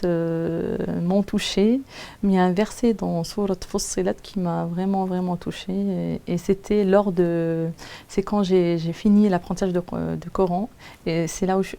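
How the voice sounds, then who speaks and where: A woman's voice: fairly low pitch, vocal fry, dark tone. A woman who teaches Quran recitation and is a native Arabic speaker, giving a personal testimony in French, hesitant and often searching for words.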